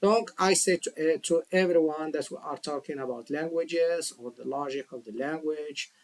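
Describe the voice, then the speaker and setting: Man with a slightly high voice teaching. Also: unhurried, a little mellow. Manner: multilingual, switches between languages, emphatic rhetorical questions. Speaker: language teacher, channel host. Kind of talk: casual spoken lesson to viewers.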